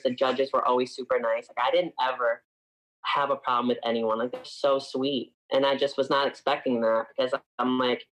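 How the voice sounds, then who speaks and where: A man's voice: medium pitch, a bit bright, rough recording. A man in his twenties from upstate New York, a singer chatting casually as an interview guest and filling his talk with frequent "like"s.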